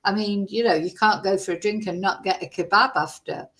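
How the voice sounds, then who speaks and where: A woman from Manchester with a softened Mancunian accent, hosting a casual true-crime livestream, chatty and rambling, greeting viewers by name. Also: mid-range voice, fairly quick, low-quality recording. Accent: softened Mancunian English. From Manchester, England.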